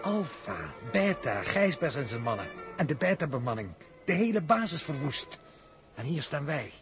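A man's voice: fairly high pitch, very animated, normal speed. An actor playing a stunned, questioning male astronaut in a 1960s radio drama. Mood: shocked, puzzled. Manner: hesitant, questioning. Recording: old radio play under loud music.

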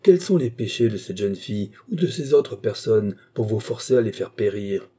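A man's voice: on the low side, expressive, moderate pace, dark tone, breathy edge. A man voicing a fanatical killer who preaches judgment on sinners in an exalted, ranting way. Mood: ranting sermon. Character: raving fanatical male killer preaching doom.

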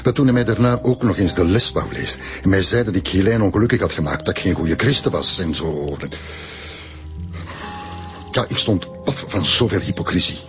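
A man plays an older Flemish historian in a radio drama, with a very deep voice. He is proud, bitter and deliberate in his speech, with faint music behind him. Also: close to an everyday voice. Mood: bitter, indignant. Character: indignant, proud older male historian under suspicion.

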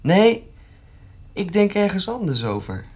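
A young man playing a shy but keen student with farming dreams in a Dutch radio drama, speaking haltingly. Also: sits high, sing-song, a little mellow, slightly rough, full character voice. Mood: bashful, keen. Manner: halting, bashful.

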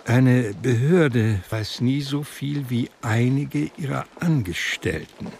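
A man reading literary narration in a German radio drama, measured and reflective. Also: medium pitch, gravelly, darkish tone, slight background noise. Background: music.

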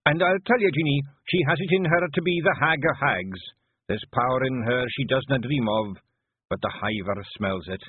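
A man voicing a tiny, brooding, stubborn clan chief with a gruff Scottish accent, in a storybook reading. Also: mid-range voice, moderate pace, no room sound, phone-quality sound, pitched above the performer's own voice, natural voice. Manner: gruff, emphatic.

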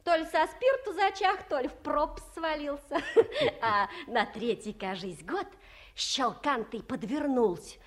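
An actress playing a gossipy young village wife in a 1950s radio play, talking in folksy rural speech and giggling often. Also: female voice, sits high, animated, unhurried.

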